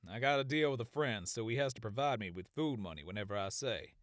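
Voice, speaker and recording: A man playing a tough, laid-back gunslinger in an audiobook, gruff, folksy and nonchalant. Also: medium pitch, lively intonation, fast talker, fairly bright tone, no room sound, clean studio sound, natural voice. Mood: casual, wry. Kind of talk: audiobook character acting.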